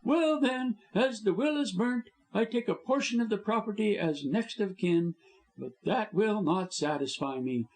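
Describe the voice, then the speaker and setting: A man's voice: sits high, animated, a little slow, a little mellow, pitched above the performer's own voice, low-quality recording. A man reading an audiobook, voicing a scheming male lawyer who sneers and threatens. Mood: threatening.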